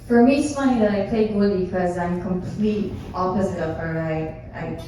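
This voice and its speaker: Woman, mellow. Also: lowish voice, a little hiss or hum. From Iran. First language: Persian. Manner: halting, searching for words. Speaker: actor on a panel. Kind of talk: casual panel talk. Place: theater stage. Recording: echoey hall, distant mic.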